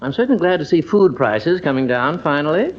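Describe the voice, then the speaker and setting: A man's voice: fairly high pitch, very animated, a little fast, very noisy. An actor with an American accent playing a wry, stubborn middle-aged doctor father in an old-time radio family comedy, dry and mock-patient. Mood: feigned innocence. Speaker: narrator and lead character. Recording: muffled old radio broadcast recording.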